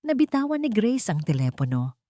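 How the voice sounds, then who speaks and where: A woman narrating a scripted audio drama in a measured, suspenseful way. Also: mid-range voice, big pitch swings, warm tone, no room sound, clean studio sound.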